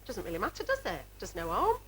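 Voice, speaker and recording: A woman's voice: medium pitch, wildly swooping pitch, quick pace, low-quality recording. A woman chatting informally as an interviewee.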